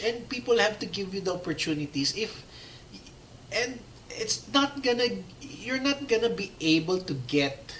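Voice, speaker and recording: A man's voice: high voice, sharp tone, slight background noise, rough recording. A man from the Philippines giving a live slide talk, losing his voice, rambling with many fillers and restarts. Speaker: presenter giving a talk on working in motorsport. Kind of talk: live-streamed slide presentation with audience questions.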